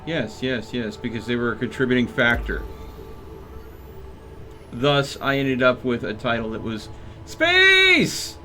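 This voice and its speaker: A man's voice: medium pitch, big pitch swings, measured pace, long pauses, bright, forceful tone, soft background music. A man livestreaming a game with casual commentary, rambling and thinking aloud as he plays. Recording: faint noise behind voice.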